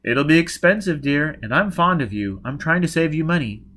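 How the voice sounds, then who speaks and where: Male narrator as shady surgeon, self-satisfied, avid collector, persuasive, musing, animated intonation, audiobook villain. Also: fairly high pitch, moderate pace, pitched above the performer's own voice. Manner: smooth-talking, persuasive, musing. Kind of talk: audiobook character voice.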